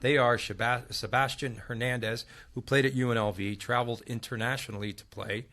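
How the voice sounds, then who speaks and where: A man's voice: mid-range voice, fairly even intonation, a little fast, pushed and bright. A man with an American accent hosts a sports panel show. He runs through results and news items in a mid-range voice and tosses questions to the others.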